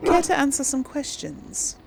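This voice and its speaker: A woman's voice: high-pitched, big pitch swings, normal speed, gravelly, background noise. A woman casually commenting on and role-playing through a video game, musing in a hesitant way. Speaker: gaming video host.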